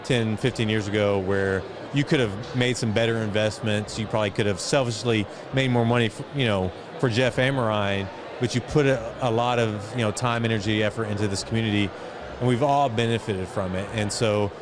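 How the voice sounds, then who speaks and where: A man's voice: on the low side, steady tone, brisk, a crowd in the background. A middle-aged man speaking as an interview guest in a reflective, conversational way. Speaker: guest, entrepreneur and foundation chairman. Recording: noisy outdoor event, street and vehicle ambience.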